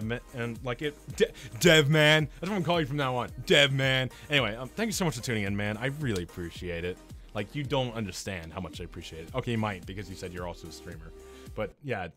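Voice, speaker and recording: Young guy streaming, voice going hoarse, rambles and restarts a lot, mid pitch. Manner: rambling, restarts often, talks loud and a lot. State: throat strained, losing his voice. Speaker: game streamer. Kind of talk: casual chat with live chat viewers.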